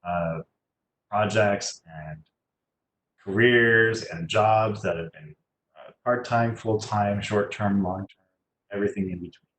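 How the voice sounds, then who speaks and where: Low-voiced man in an echoey room. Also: fairly bright tone. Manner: rambling, chatty, halting. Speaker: guest speaker, musician and designer. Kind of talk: casual career talk to students. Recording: echoey room, faint background noise.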